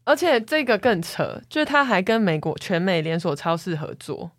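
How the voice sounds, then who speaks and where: A woman's voice: fairly low pitch, sing-song, moderate pace, dry recording, clean studio sound. A woman with a Taiwanese Mandarin accent chatting casually as a podcast co-host, amused and reactive. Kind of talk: casual chat.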